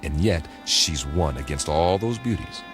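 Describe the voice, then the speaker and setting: An actor playing a steady, puzzled space crew leader in an audio drama, measured and questioning. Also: male voice, a bass voice, over music. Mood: pondering.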